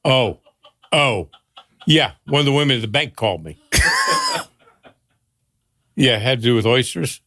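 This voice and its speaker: An older man chats and reminisces with a dry, teasing humor, breaking into laughter. His pitch swings up and down a lot, and the recording is close and dry with no room sound. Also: expressive, measured pace, long pauses, a bit bright, dry recording. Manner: wry, teasing, laughs often. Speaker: guest, host's father. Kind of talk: casual chat.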